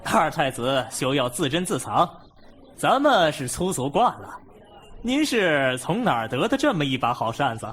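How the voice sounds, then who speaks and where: An actor playing a mocking, falsely humble wedding guest in a costume audio drama, with a needling, sarcastic delivery. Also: male voice, on the high side, big pitch swings, moderate pace, fairly bright tone.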